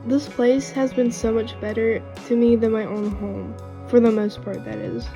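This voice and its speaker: Woman voicing wounded young runaway, cartoon drama, higher pitch, lo-fi recording, earnest. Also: little pitch movement, moderate pace, lightly acted. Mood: earnest, resolute. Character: wounded, earnest runaway girl with strange powers. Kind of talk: amateur animated series voice acting. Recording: dull lo-fi mic under faint music.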